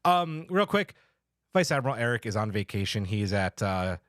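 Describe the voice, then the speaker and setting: A man's voice: on the low side, sing-song, moderate pace, a bit bright, dry recording, clean studio sound. A man hosting a podcast in an enthusiastic, casual, chatty way. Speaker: podcast host.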